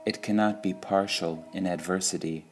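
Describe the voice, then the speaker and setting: A man reading devotional sayings from a script in a measured, deliberate way, pausing between short phrases. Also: low-pitched, fairly even intonation. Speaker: reader of spiritual quotations.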